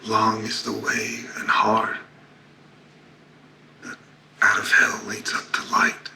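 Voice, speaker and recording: A man playing a haunted, brooding survivor under questioning, speaking gravely in breathy, near-whispered horror monologue. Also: fairly low pitch, completely flat delivery, slow, heavy background noise. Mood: brooding, grim.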